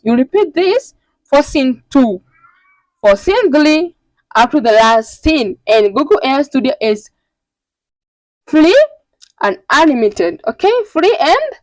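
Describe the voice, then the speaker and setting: A woman with a fairly high voice walks listeners through a software tutorial one step at a time. She is instructional and often checks that they are following. The recording is dry, with very little room sound. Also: very animated, unhurried, pauses often, no echo, low-quality recording. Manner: instructional, repeatedly checking in with "okay". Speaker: tutorial host.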